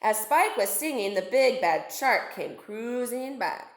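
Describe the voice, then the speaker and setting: A woman's voice: on the high side, animated, a little slow. A woman reading a storybook aloud to children as a narrator. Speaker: storybook reader, teacher. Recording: echoey room.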